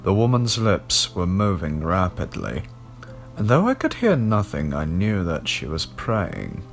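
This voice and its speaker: A man reading a gothic horror story as a scripted narration, in a measured storytelling manner. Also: low voice, wildly swooping pitch, soft background music.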